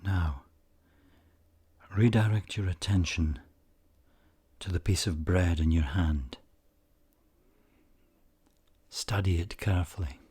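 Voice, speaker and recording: A man with a very low, husky voice leads a guided meditation. He speaks very slowly and calmly, leaving space between short phrases. The ends of his lines often soften into breath. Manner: calm, measured, pausing often. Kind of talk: slow guided meditation narration.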